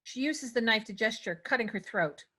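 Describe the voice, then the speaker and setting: A woman's voice: a little high, lively intonation, normal speed, background noise. A woman reading stage directions as scripted narration at a table read.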